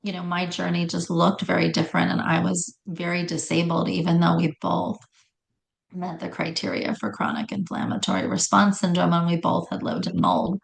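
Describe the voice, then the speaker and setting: A middle-aged woman with a raspy voice telling her personal story in conversation, often stopping to correct herself. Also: lowish voice, moderate pace, low-quality recording. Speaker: guest telling health story.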